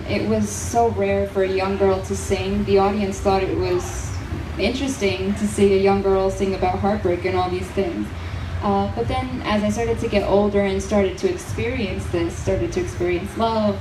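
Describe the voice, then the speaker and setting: A young woman from New Jersey who grew up speaking Portuguese talks earnestly about her music, pausing with fillers as she reflects. She sounds set back from the mic, with a roomy echo. Festival noise carries clearly behind her. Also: mid-range voice. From New Jersey, United States. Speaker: fado singer, interview guest. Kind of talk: casual interview. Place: outdoor festival. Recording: echoey festival crowd noise from far off.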